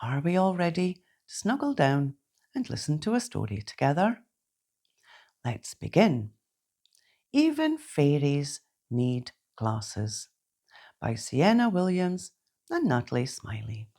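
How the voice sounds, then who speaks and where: A woman reads to small children as a bedtime storyteller. Her low voice moves very slowly and deliberately, with expressive rises and falls and a gentle, theatrical touch. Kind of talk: expressive read-aloud bedtime storytelling in rhyme.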